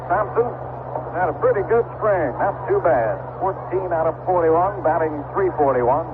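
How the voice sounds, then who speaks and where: A man calling baseball play-by-play on live radio in a measured, descriptive way with conversational asides. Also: sits high, animated, narrow, tinny audio. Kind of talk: live radio sports commentary. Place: outdoor ballpark broadcast. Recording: noisy vintage 1970s AM radio broadcast.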